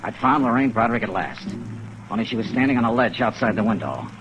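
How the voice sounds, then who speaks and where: A man's voice: medium pitch, fast, muffled recording, music in the background, close to an everyday voice. A man acting a tough, wry, clipped insurance investigator in a 1950s radio detective drama. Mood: wry, dramatic narration. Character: brisk, tough, steady male insurance investigator. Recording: vintage radio recording, steady rain noise.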